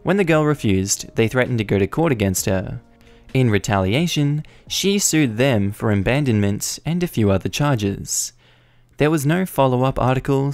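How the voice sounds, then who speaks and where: A man's voice: mid-range voice, darkish tone, no room sound. A man narrating online forum stories aloud, reading evenly with the odd wry aside. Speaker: story narrator.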